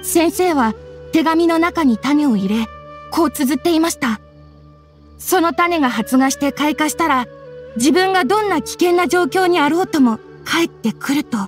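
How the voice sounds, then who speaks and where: An earnest young woman acted by a woman in an anime game, with a high voice. She speaks politely and formally, with grief in her manner. Music plays behind her. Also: moderate pace, close to an everyday voice. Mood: wistful, earnest. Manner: polite, soft-spoken formal phrasing. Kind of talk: Japanese anime game voice acting. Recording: studio voice over game music.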